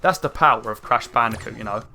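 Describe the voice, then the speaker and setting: A young man with a croaky throat, rambling through casual gameplay commentary with lots of fillers. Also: medium pitch, fast. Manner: rambling, chatty, many fillers, excited outbursts. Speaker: gaming channel host. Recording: faint game music behind. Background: game music.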